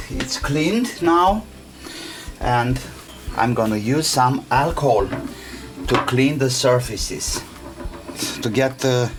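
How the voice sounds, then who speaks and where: A man who speaks English as a second language, hosting a casual hands-on tutorial in simple, halting step-by-step phrases. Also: mid-range voice. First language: not English. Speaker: DIY tutorial host. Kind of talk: casual hands-on how-to narration. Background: music.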